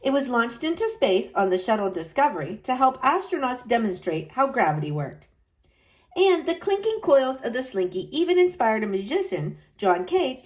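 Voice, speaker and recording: A woman reads a children's picture book aloud with a fairly high voice. Her pitch rises and falls widely, and she stretches key phrases out for drama. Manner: expressive, slow dramatic emphasis with wide pitch swings. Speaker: storyteller reading to children.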